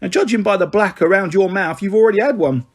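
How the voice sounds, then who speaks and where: A bustling, affectionate working-class mother from a children's storybook, talking fast and fondly. Her voice sits high and a little husky. A man reads her lines aloud. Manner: fussing, affectionate, scolding. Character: kindly chatty working-class mother, fussing.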